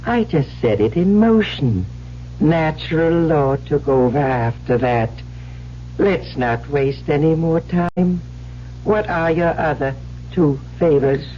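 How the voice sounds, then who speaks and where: A cold, malignant machine spirit from an old radio play. It speaks slowly and smugly, menacing and in command. The sound is dull with a faint hiss. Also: male voice, a little high. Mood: coolly commanding. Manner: deliberate, smug, menacing. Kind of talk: vintage radio drama acting.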